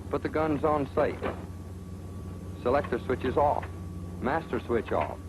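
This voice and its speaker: A man's voice: medium pitch, lively intonation, measured pace, noisy background. A man narrating a 1940s training film in an authoritative, sardonic manner. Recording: old 1940s film soundtrack with music.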